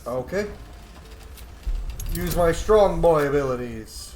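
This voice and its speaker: A man's voice: a little high, animated, slow pace, darkish tone. A man giving casual, joking commentary as he roleplays through a video game. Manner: informal, joking. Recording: roomy echo, faint game noise behind.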